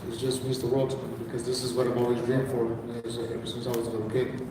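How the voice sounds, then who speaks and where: A man's voice: medium pitch, completely flat delivery, fast, background noise. A male boxer answering questions earnestly at a press conference. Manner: earnest, repeats "you know". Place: large event room. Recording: echoey hall picked up from far off.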